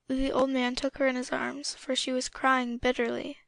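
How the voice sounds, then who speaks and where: High-pitched, creaky woman storyteller. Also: monotone, moderate pace, dry recording. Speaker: audiobook narrator. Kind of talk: scripted story narration.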